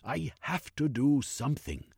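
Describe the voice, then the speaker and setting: A man voicing a fretful genteel gentleman who speaks in alarmed, excitable exclamations. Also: mid-range voice, measured pace, vocal fry, husky, no echo, studio quality, voice pushed up.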